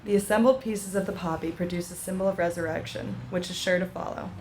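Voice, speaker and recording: Low-voiced woman reading a formal speech. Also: steady tone, quick pace, darkish tone, low-quality recording. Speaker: ceremony speaker. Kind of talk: prepared speech and poem recitation. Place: outdoor veterans' memorial ceremony. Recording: outdoor ceremony, background noise.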